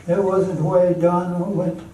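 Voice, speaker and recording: A man's voice: sits high, little pitch movement, slow pace, mellow. A man playing an earnest, reassuring friend in an old-time radio drama, recorded at a distance in an echoey room. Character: Johnny, earnest, reassuring male friend giving love advice. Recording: echoey, distant stage mic with faint noise.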